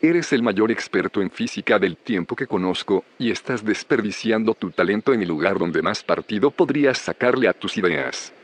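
A self-assured village inventor from a podcast drama, low in pitch, with an accent from Spain. He speaks in measured, firm phrases meant to persuade. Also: expressive. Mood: firm, persuasive. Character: self-assured male inventor, content in his secluded village. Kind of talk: audio drama acting, scripted dialogue.

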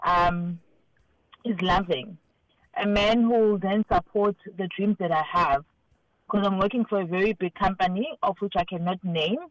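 A South African woman in her forties calling in to a radio show, speaking calmly and thoughtfully about what she wants. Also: medium pitch, normal speed, no room sound, muffled recording. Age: 40s. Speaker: caller. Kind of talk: radio phone-in interview.

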